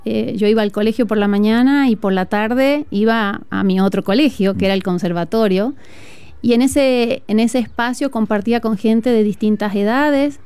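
A woman from northern Argentina with an Argentine accent, a music teacher speaking earnestly and reflectively in an interview, with frequent "eh" pauses. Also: on the high side, darkish tone, clear, resonant tone, no echo. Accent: Argentine Spanish. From Tucumán, northern Argentina. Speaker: music teacher and flutist, guest. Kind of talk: conversational interview. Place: outdoor school courtyard.

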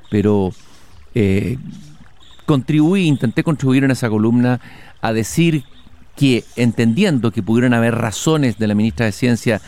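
A man hosting a relaxed interview while walking outdoors in a garden, speaking in a reflective, measured way with hesitations. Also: medium pitch. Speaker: host.

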